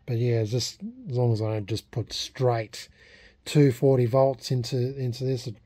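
An Australian man with a soft-edged, mellow voice at a middle pitch talks through a hobby project in a casual vlog. He is halting and unscripted, thinking aloud as he goes. The sound is dry and close. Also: flat delivery, no room sound. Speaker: hobbyist vlogger restoring a vintage radio. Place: small indoor home workshop at a bench.

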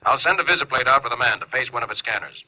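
An actor playing an authoritative, deliberate spaceship captain in a 1950s radio drama. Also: male voice, mid-range voice, sharp tone. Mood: calm command. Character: commanding, pondering male spaceship captain. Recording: dull, noisy 1950s radio broadcast.

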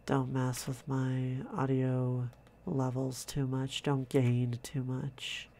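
A man quietly narrating his own drawing process, in a soft-spoken, calm way, thinking out loud. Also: medium pitch, takes it slowly, slight background noise. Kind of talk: casual relaxed commentary over drawing.

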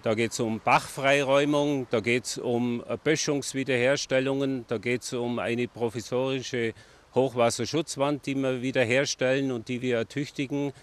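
A man's voice: mid-range voice, fairly even intonation, moderate pace. A middle-aged man, a city official, giving a formal, structured interview outdoors into a handheld mic. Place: outdoors by a stream. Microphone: handheld reporter mic.

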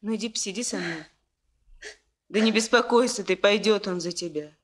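A woman's voice: mid-range voice, moderate pace, natural voice. A woman playing a wistful, soothing village woman in her late twenties in a 1960s Soviet radio play, speaking plainly and confidingly. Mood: soothing, coaxing. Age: 20s. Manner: confiding, plain-spoken storytelling.

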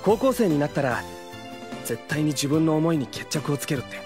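A woman voicing a hopeful, easily flustered teenage boy in a casual, expressive audio drama style. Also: low voice, normal speed, over music. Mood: hopeful narration. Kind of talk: scripted Japanese anime-style audio drama acting.

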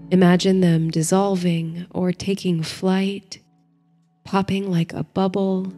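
A woman narrating a bedtime story and guided meditation in a soothing, hushed, gentle manner. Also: on the low side. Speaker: host and narrator of a sleep story series. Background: faint music.

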